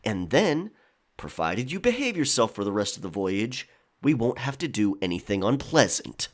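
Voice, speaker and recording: A man plays a pirate quartermaster for an audio drama, stern and easily exasperated. His drawl is measured and coolly menacing, with pitch that moves widely from phrase to phrase. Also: very animated. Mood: calm menace. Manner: drawling, measured, matter-of-fact.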